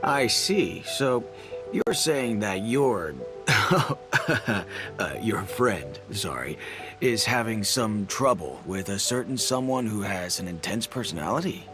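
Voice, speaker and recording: Low-voiced, tactful soldier. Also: male voice, expressive. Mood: amused, awkward. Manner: measured advice with awkward, knowing hedging. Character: tactful, easygoing older soldier, male captain. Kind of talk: video game dub dialogue. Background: faint music.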